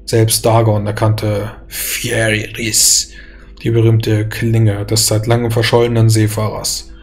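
A man's voice: fairly low pitch, completely flat delivery, takes it slowly, soft background music. A man reading a scripted, dramatic fantasy story as its narrator.

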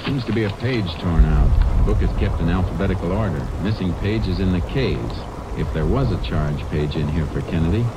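Man acting a low-voiced, probing American lawman. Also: brisk, few pauses, very noisy, natural voice. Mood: businesslike. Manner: clipped, methodical questioning. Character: steady, probing American lawman investigating a killing. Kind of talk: old-time American radio drama acting.

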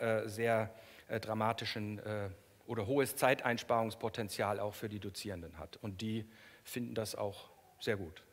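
A middle-aged man giving an academic keynote, explaining in a halting way with frequent hesitations. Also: on the low side. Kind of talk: academic lecture, explanatory, semi-spontaneous. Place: lecture hall in front of an audience. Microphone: headset microphone. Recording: reverberant lecture hall sound.

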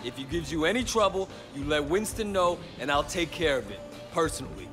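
A man's voice: fairly high pitch, expressive, fairly quick, over music, close to an everyday voice. A man playing a cool young undercover cop. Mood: calm. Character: young male undercover cop, calm and direct. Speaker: game protagonist.

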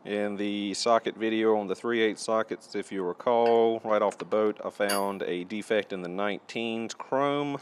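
Low-voiced man reviewing tools, background noise. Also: normal speed, hardly any pauses. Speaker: tool reviewer and presenter. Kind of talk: casual product review narration.

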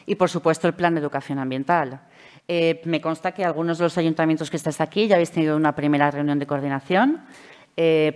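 A middle-aged woman with a Valencian Spanish accent giving a conference presentation from a table mic, measured and explanatory with frequent hesitation fillers. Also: low voice, clear, resonant tone. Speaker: speaker at a public administration conference. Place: conference hall, seated at a table. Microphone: table microphone.

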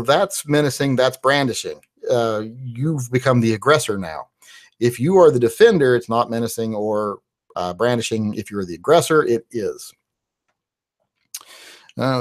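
A man in his forties from West Virginia, hosting a live-stream Q&A and answering viewer questions in a loose, rambling way with lots of "uh" fillers, recorded on a studio mic in a small home studio. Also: mid-range voice. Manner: rambling and conversational, with frequent "uh" fillers. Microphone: large studio mic on a boom, headphones.

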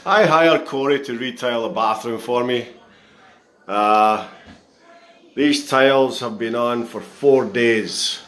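A man reviewing a contractor's work in a handheld phone walkthrough of a half-tiled bathroom, speaking in a halting, critical way with many pauses. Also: mid-range voice, fairly bright tone. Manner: slow, halting, frequent pauses and restarts; disgruntled, critical. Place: bathroom under renovation, indoors. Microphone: phone camera held in hand.

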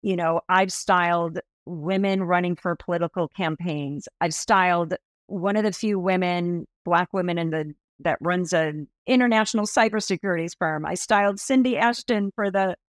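A woman in her early fifties talks with bright enthusiasm as a podcast guest, her voice sitting a little low for a woman. She is recorded on a video call in a small room with a close, dry sound. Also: moderate pace, dry recording. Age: 50s. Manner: animated, enthusiastic storytelling with expressive hand gestures. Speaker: guest stylist.

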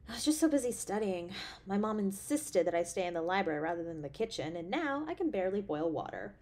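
An affectionate girlfriend on a date at home, acted by a woman for an intimate audio drama. Her mid-pitched voice is highly animated, swinging freely up and down. She comes across as playful, caring and openly expressive. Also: fast. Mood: delighted, admiring. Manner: gushing, tender, expressive. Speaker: voice actor.

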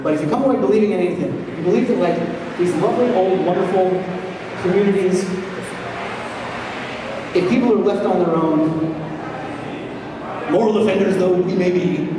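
Sardonic male lecturer in echoey hall. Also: sits high. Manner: animated, sardonic, rambling asides. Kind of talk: public lecture. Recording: echoey hall, distant mic, loud noise.

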